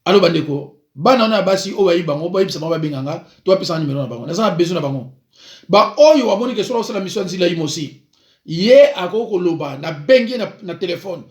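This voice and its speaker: A man, a pastor, giving an informal preaching talk, moving between calm advice and lively storytelling. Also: sits high, quick pace, roomy sound.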